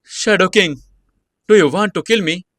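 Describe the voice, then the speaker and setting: A proud, wounded female assassin from an audio story, played by a man. The voice is pushed up in pitch, loud and indignant, with dramatic defiance. The recording is dry. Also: moderate pace, no room sound. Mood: fearful.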